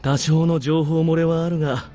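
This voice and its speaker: A man performing a visual novel army superior with a dark, mellow voice and a quick delivery. He is composed, sardonic and quietly menacing, speaking over background music. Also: on the high side, level tone, lightly acted. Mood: dry, composed. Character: cool, commanding military superior officer, dryly sardonic. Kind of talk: Japanese visual novel voice acting. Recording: game music under the voice.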